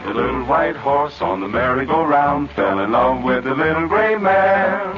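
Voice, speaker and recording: A man crooning a novelty song in a slow, smooth manner, from an old radio broadcast. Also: on the high side, lively intonation, over music. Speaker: singer. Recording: dull old record with loud band.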